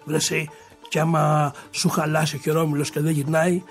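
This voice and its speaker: Man acting greedy rich brother of a folk tale, wheedling, falsely caring, rustic, high voice, faint music. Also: little pitch movement, creaky. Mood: coaxing. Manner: coaxing, rustic, theatrical.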